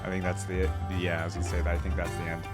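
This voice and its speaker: A man's voice: low voice, completely flat delivery, very fast. A man hosting a reaction video, thinking aloud in casual, informal commentary. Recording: music playing behind the voice.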